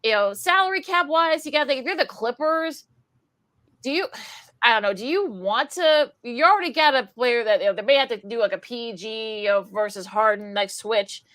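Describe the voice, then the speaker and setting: Woman, sports radio co-host, slightly high voice, studio mic, hesitant, lots of fillers. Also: animated, fairly quick, a bit bright. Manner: hesitant, many fillers, thinks aloud. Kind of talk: casual sports radio chat.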